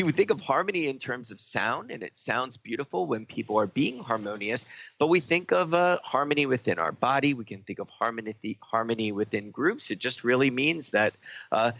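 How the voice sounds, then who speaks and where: Gravelly-voiced man hosting radio talk. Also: mid-range voice, fairly bright tone, muffled recording. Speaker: radio show host. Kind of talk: casual spiritual talk show conversation.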